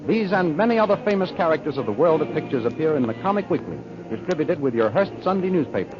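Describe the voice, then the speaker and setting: An American man announces an old radio serial from a script. His voice is fairly high, with music clearly behind him on a muffled vintage broadcast recording. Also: sing-song, quick pace.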